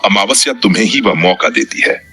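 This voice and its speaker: A man's voice: low voice, animated, brisk, sharp tone, slightly rough, full character voice. A man voicing a tender, wise, fatherly sun god in a storybook tale.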